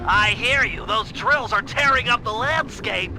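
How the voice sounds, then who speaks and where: A man's voice: extremely high pitch, measured pace, music in the background, low-quality recording. A man voicing a brainy, fussy cartoon turtle who plans missions in precise, wordy, theatrical speech for a video game. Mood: helpful. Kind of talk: cartoon game briefing and dialogue.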